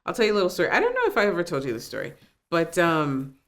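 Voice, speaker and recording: A woman's voice: on the low side, wildly swooping pitch, very fast. A woman from Trinidad and Tobago, hosting a casual podcast chat with curious questions. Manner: curious, chatty, laughs along. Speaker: podcast host. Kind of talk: casual interview.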